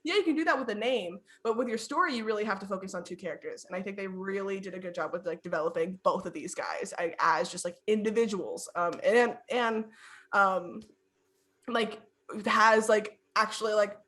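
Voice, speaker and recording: A woman's voice: medium pitch, moderate pace, fairly bright tone. Young woman rambling with constant "like". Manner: laughs often, talks in loose runs full of "like". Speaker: podcast co-host. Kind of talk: casual chat.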